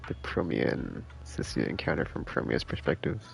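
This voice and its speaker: A man's voice: rumbling low, expressive, moderate pace, gravelly. A man streaming a game live, chatting casually in a rambling, enthusiastic, slangy way. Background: game music.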